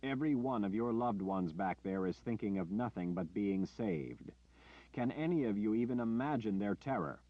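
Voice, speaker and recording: A man doing a commanding warrior leader, pressing his point with hard emphasis in a lowish voice. It's a dry, dull-sounding recording. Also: normal speed, no echo, natural voice. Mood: urgent. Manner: forceful, pleading, emphatic. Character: commanding male warrior leader, intense and urgent.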